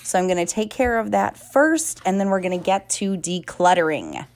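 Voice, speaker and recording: A woman's voice: medium pitch, expressive, moderate pace, a little mellow. Congested, nasal woman vlogging. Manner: bubbly and talkative, many fillers. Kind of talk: casual chatty vlog. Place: home kitchen.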